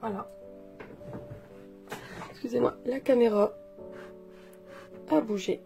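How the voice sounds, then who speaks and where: French woman w a cold, bit high voice, chatty coloring tutorial, guitar music playing under it. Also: slow pace, gravelly, dark tone. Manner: chatty, hesitant, frequent fillers and restarts. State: congested with a cold, coughing. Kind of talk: relaxed step-by-step drawing tutorial.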